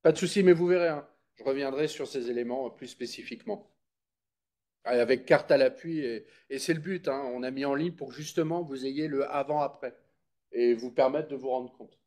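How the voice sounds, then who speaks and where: A man with a soft-edged, mellow voice presents at a public meeting. He explains in an informal, didactic style, talking to his listeners directly and checking that they follow. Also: mid-range voice, moderate pace.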